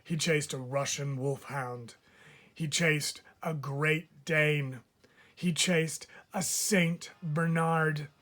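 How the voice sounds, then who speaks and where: A man's voice: on the high side, steady tone, very slow, fairly bright tone. A man reading a children's story aloud like a teacher, drawing out phrase endings for emphasis.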